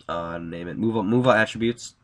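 A young man with a stuffy, nasal voice, pitched a little low, talks viewers through a screen-recorded editing tutorial. He is casual and upbeat, filling gaps with plenty of ums and uhs. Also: moderate pace. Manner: casual, upbeat, many fillers. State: congested from a cold. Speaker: tutorial host.

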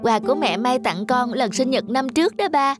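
A woman voicing a cheerful, caring little girl in an animated dub, with a bright, chirpy delivery over music. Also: high voice, normal speed. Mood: gentle.

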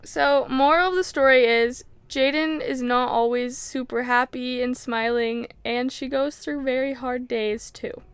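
A woman's voice: sits high, steady tone, unhurried, hardly any pauses, smooth, dry recording. A teenage girl chatting to a handheld camera in a casual, bubbly vlog, often saying "oh my gosh", filmed aboard a sailboat and on a sunny beach. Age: teens. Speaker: vlogger. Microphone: handheld action camera at arm's length.

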